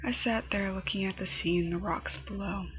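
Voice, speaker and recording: A woman narrating a scripted horror story in a dramatic manner, her phrases often dropping into a breathy hush. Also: medium pitch, steady tone, a little fast. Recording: muffled lo-fi home recording, music behind. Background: background music.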